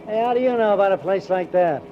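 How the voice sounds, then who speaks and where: An actor playing a nosy, chatty American cab driver in an old-time radio drama, with slangy, ungrammatical working-class talk. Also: male voice, high voice, fast, lightly acted. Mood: nosy, eager. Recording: dull, noisy vintage radio recording.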